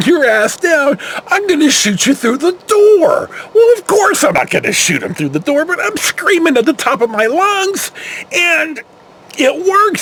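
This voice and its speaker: An older American man with a fairly high-pitched voice that swings widely as he tells a story. He rambles casually, acts out what people said and swears freely. There is some noise behind him. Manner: animated, rambling, acts out dialogue with salty language. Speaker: storyteller, former security patrol officer.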